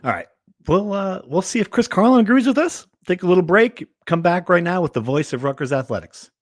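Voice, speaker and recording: A man's voice: high voice, animated, fairly quick, no room sound, clean studio sound. An American man hosting a podcast with casual, conversational sports talk.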